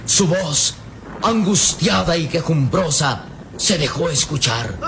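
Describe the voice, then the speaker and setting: A man delivering scripted dramatic narration for a radio serial in a grave, deliberate storytelling manner. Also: a little high, animated, gravelly, noisy background.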